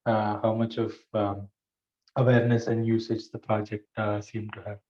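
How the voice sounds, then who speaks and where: A man's voice: fairly low pitch, flat delivery, normal speed, a little mellow. A man talking casually to colleagues in a meeting, phrasing things piecemeal and a little hesitantly. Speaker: meeting participant. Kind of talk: casual anecdotal meeting talk. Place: online video call. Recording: slightly dull call audio.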